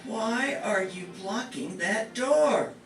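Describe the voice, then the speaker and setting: A woman's voice: fairly high pitch, expressive, slow pace. A woman playing a strict, bossy charge nurse in an amateur staged radio play. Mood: stern, commanding. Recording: echoey, distant, music behind.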